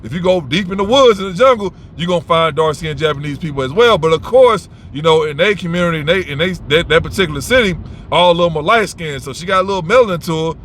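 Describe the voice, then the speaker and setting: Blunt, profane man with a bright voice. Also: fairly high pitch, lively intonation, fast, slight background noise. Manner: blunt, rambling, profane, with many restarts. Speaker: host giving advice. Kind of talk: casual unscripted monologue.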